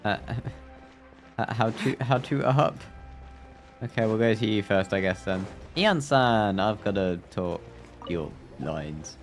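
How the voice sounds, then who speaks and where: Casting: man as playful live streamer, lowish register, animated delivery, reads game lines aloud over soft music. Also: slow.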